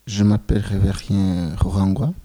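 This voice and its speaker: A Rwandan man in his twenties giving solemn, measured testimony as a genocide survivor. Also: low voice, fairly even intonation, warm tone, dry recording. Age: 20s. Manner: slow, grave, measured phrasing.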